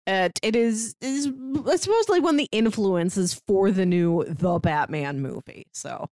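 Animated woman in a dry, close recording. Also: mid-range voice, measured pace, dry recording. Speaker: podcast co-host. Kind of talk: casual chat.

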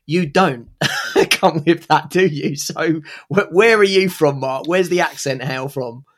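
A man's voice: on the high side, a little slow. A man hosting an interview, with a Hertfordshire-Essex border accent, asking chatty questions with stammering restarts. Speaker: podcast host. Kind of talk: friendly interview.

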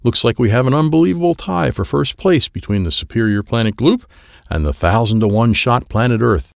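A brash alien game-show host acted by a man announces in an audiobook. He is grandiose and showy, with big swings of pitch in a dry, close sound. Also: animated, brisk, gravelly, no echo. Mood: showy excitement. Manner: grandiose, exclamatory.

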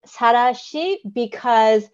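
A woman's voice: on the high side, lively intonation, takes it slowly, darkish tone, smooth, dull audio. A woman co-hosting a podcast, explaining things carefully in casual chat, with frequent "um"s and a giggly manner. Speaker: podcast co-host.

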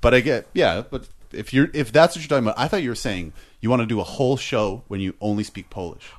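A man's voice: mid-range voice, quick pace. A man who is a comedian, chatting casually, easygoing, with many yeahs and likes, laughing along. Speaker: stand-up comedian. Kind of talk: casual chat.

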